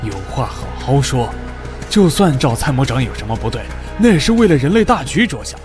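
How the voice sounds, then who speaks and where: A man's voice: mid-range voice, big pitch swings, fairly quick, music in the background, lightly acted. A man playing a nervous official in a motion comic, placating and pleading. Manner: pleading, appeasing. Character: nervous, placating male official excusing wrongdoing. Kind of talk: dramatic Chinese motion-comic voice acting.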